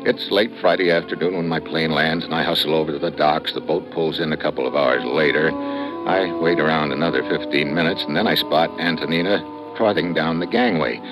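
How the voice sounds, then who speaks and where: Real low voice, tough wry gov agent, old radio adventure, big music behind him. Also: male voice, fairly quick, rough recording. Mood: brisk, matter-of-fact. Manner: hard-boiled, wry, clipped narration. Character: wry, tough male government agent, world-weary adventurer. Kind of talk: 1950s radio adventure drama.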